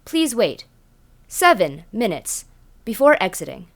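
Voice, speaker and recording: A woman's voice: medium pitch, very animated, measured pace. A woman voicing a polite, detached automated announcer in an audio drama, formal and instructional.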